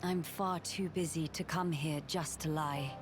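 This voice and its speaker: An actress playing a composed, stern noblewoman in a video game cutscene, speaking in a formal, measured, authoritative way. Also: sits low, fairly even intonation, over music. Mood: businesslike, firm. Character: composed, stern noblewoman urging a veteran to act.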